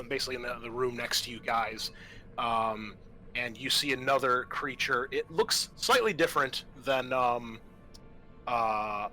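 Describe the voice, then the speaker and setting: A man acting as game master, narrating a tabletop roleplaying game to friends and explaining rules in a halting way. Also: medium pitch, bright, forceful tone, rough recording. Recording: faint music behind the voice.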